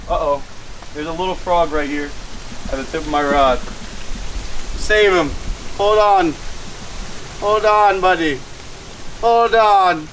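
Excitable young man vlogging while fishing. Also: fairly high pitch, slight background noise, low-quality recording. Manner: excitable, chatty, talks to viewers while fishing. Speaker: fishing channel host. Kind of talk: casual fishing vlog, talking to viewers. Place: outdoors by a lake and a pool.

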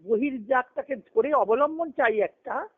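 A woman giving an earnest, emphatic devotional discourse over a conference phone line, reciting scripture and verse and pausing between short phrases. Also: sits high. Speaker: spiritual discourse speaker. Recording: tinny band-limited conference phone call.

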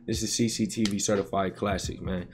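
A man's voice: on the low side, fairly even intonation, fairly quick. A young man giving casual, slangy reaction commentary to his viewers as a gaming video host. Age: young adult. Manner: slangy, conversational, addressing chat. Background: music.

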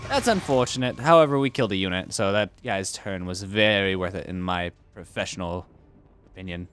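A man's voice: on the low side, fairly bright tone. A young man commenting casually on a strategy game he is playing, joking around and arguing with himself. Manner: goofy, argues with himself in silly voices. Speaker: let's play host.

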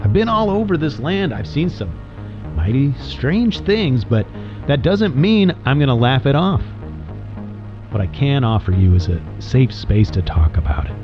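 An actor plays an earnest, seasoned local man in an audio drama. He speaks with lively swoops in pitch and a sympathetic manner. The sound is dull, with music behind. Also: unhurried. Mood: earnest, inviting. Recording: muffled recording under loud music.

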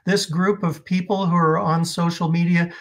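A man's voice: high-pitched, flat delivery, normal speed. A man hosting an interview over a video call, asking questions and politely wrapping up. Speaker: interviewer host. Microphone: video call webcam.

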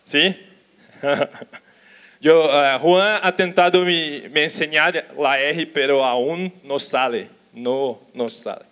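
A young man from Brazil with a Portuguese accent, giving a conference talk in halting Spanish on an auditorium stage, talking slowly with restarts. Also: a little high, a bit bright, clean tone. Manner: slow, careful, with restarts and Portuguese words. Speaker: conference speaker. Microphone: handheld mic.

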